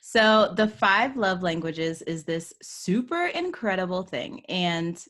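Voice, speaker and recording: A woman's voice: lowish voice, animated, slow pace, a bit bright. A woman coach on a video call, chatting enthusiastically with frequent laughs and lots of "like". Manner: enthusiastic, laughs often, says "like" a lot. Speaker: guest, workplace psychology coach. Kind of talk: casual video-call interview.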